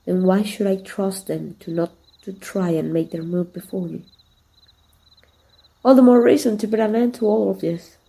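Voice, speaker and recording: A woman's voice: fairly low pitch, vocal fry, warm tone, poor audio. A woman playing a flirtatious, confident villain who is secretly fond of her rival, in an intimate, teasing ASMR roleplay. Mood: confident, possessive. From Mexico. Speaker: amateur voice actor.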